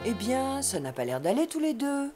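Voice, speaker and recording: A woman voicing a patient, kindly mother donkey in a children's cartoon, gentle and encouraging. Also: high-pitched, sing-song, normal speed. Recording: very loud music behind.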